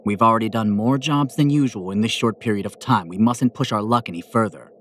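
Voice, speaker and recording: A man's voice: lowish voice, fairly quick, slight background noise, close to an everyday voice. A man playing a stoic male angel of death in an audio drama, speaking in a serious, formal, controlled way. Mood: stern. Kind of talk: audio drama character acting.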